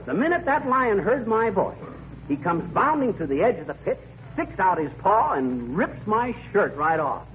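An actor playing a genial, blustery small-town teller of tall tales in a folksy 1940s radio comedy style. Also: male voice, high voice, sing-song, moderate pace. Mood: boastful, jovial. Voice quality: chuckling, folksy delivery. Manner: folksy storyteller, rambling and emphatic. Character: genial blustery tall-tale-telling middle-aged small-town man. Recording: muffled, noisy old radio recording.

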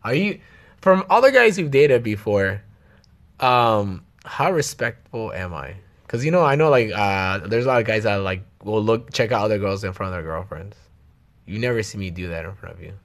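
A man's voice: fairly low pitch, animated, moderate pace, fairly bright tone. A young man from the Los Angeles area vlogging in casual chat with his girlfriend, joking and cursing freely with slang.

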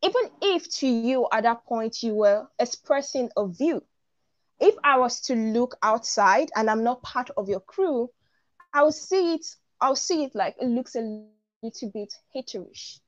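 Woman, straightforward and opinionated, fairly high voice, dull lo-fi stream audio. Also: lively intonation, unhurried. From Nigeria. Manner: direct, opinionated, lots of "like". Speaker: guest panelist. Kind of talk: live online discussion.

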